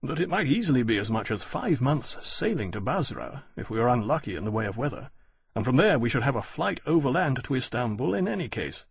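A man voicing a courteous, gravely reasonable British officer in an audiobook, speaking formally and deliberately. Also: mid-range voice, big pitch swings, no room sound, exaggerated voice. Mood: reasoning.

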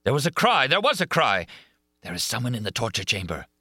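A man's voice: mid-range voice, huge pitch swings, a little fast, pushed and bright, breathy edge, dry recording, studio quality, voice pushed up, exaggerated voice. A man voicing a menacing, jealous male monster who is angry and mocking.

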